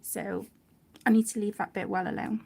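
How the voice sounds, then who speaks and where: A woman's voice: mid-range voice, level tone, moderate pace, vocal fry, a little mellow, breathy edge. A British woman chatting casually and in a rambling way as she hosts a colouring stream, often breaking off her own sentences. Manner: chatty, rambling, self-interrupting. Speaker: host of an adult colouring channel. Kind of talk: casual live chat while colouring.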